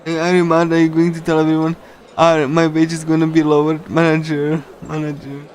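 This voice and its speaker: Halting male game streamer, slightly high voice. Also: monotone, a little mellow. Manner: halting, pieced-together delivery. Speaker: streamer reading a visual novel aloud. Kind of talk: casual live read-aloud and translation of a visual novel. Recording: music behind the voice. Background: game music.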